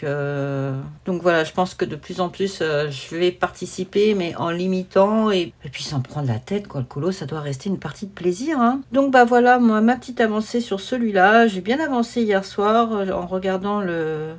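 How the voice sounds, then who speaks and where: A woman chatting informally and easygoingly as she presents a hobby vlog from her desk. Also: fairly low pitch. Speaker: hobby vlogger presenting her coloring projects. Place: at a desk at home. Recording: noisy home recording with clicks.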